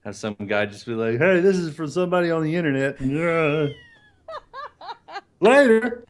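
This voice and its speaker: Male co-host, deep voice, relaxed and rambling, laughs easily. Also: a little slow. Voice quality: deeper voice. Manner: rambling, with frequent restarts and easy laughter. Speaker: podcast co-host. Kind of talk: casual chat on a live podcast stream.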